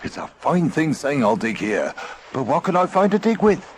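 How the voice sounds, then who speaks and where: A man voicing an excitable cartoon dog playing a peg-legged pirate, theatrical, grandiose and grumbling, in a storybook reading. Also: on the high side, moderate pace, pitched above the performer's own voice. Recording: faint noisy old tape transfer.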